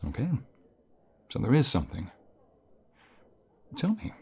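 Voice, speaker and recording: A man playing a caring university professor in an ASMR roleplay, speaking in a calm, reassuring and gentle manner. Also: mid-range voice, measured pace, vocal fry, slightly rough, exaggerated voice. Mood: caring, encouraging. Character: caring male university professor comforting a burnt-out student. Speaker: voice actor.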